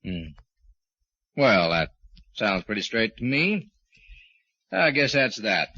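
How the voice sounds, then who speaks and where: An actor playing a polite homicide detective with easygoing, slightly hesitant questioning, in 1940s radio style. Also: male voice, lowish voice, big pitch swings, takes it slowly, pushed and bright, no echo, full character voice. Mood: courteous probing. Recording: muffled 1940s radio recording.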